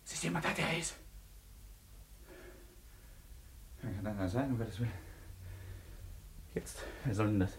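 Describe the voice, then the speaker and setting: An actor playing a volatile, tormented murderer and resentful lover in a German radio play, agitated and intense, moving between muttering and outbursts. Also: male voice, lowish voice, animated, fairly quick, a little hiss or hum. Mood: hushed alarm.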